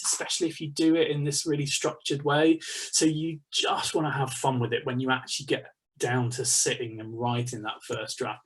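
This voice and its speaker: A man's voice: mid-range voice, low-quality recording. A British man in his thirties giving an informal online workshop in a chatty, emphatic way, speaking over a video call from a home study. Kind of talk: informal online masterclass talk. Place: small home study, indoors. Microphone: video call, computer at desk distance.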